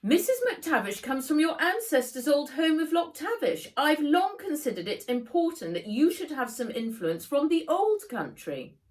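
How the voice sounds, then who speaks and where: A woman's voice: high voice, sing-song, normal speed, few pauses, voice pushed up. A woman voicing a busy, self-important mother in a storybook, airy and dismissive in manner. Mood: haughty.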